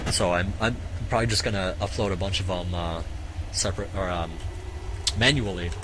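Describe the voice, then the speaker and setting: A man casually commentating a strategy game match, halting with many fillers, his voice going hoarse. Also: sits low, noisy background. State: voice getting hoarse. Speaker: game caster.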